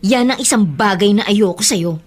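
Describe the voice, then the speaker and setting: A woman's voice: mid-range voice, moderate pace, slightly rough, natural voice. Actress as a proud, commanding young woman. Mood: scolding. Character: proud, strict young woman, elder sister. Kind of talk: radio drama acting.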